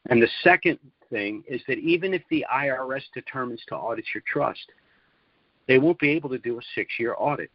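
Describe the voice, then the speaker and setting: A man giving a sales presentation on a conference call, speaking in deliberate, measured phrases with emphatic pauses. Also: medium pitch, dry recording. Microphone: conference call line. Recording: dull, lo-fi conference call audio.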